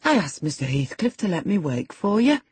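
A woman voicing a shy, rough young country man, halting and plain-spoken, in an audiobook novel. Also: lowish voice, big pitch swings, a little mellow, no room sound, pitched above the performer's own voice, heavily acted. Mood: hurt, protesting. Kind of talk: classic novel character dialogue in audiobook reading.

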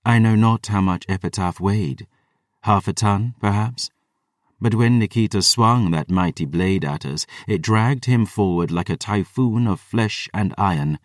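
A man's voice: fairly low pitch, a little slow, no echo, studio quality, far from the mic, natural voice. A man voicing a proud, battle-hardened female vampire warrior, telling of battle in an intense, grave, theatrical way. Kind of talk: dramatic first-person storytelling.

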